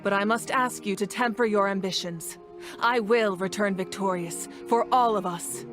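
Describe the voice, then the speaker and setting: A woman playing a dignified, solemn leader of a nation in a video game, speaking in formal, measured oratory. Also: mid-range voice, music in the background, natural voice. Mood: stately. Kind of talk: scripted video game voice acting.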